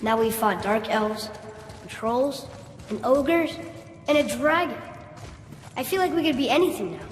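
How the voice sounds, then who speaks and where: Game role: wide-eyed warrior's son, high boyish voice, earnest, long reverberant tail on the sound. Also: male voice, measured pace, pauses often. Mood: proud, elated. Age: child. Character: eager young boy, warrior's son, earnest and proud. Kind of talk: video game cutscene acting. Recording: echoey reverberant space, faint noise.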